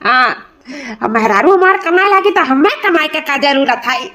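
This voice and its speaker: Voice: high voice, lively intonation, normal speed, sharp tone, slight background noise. A performer voicing a hot-headed, boastful village husband in a comedy cartoon, with a rural Awadhi accent and repetitive, colloquial talk. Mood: gloating.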